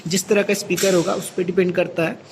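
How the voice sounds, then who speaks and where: A man's voice: sits high, little pitch movement, a little fast. A man with an Indian accent giving a hands-on, step-by-step equipment tutorial as a technician, often adding a short check-in tag. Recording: clearly noisy amateur recording with ambient sound.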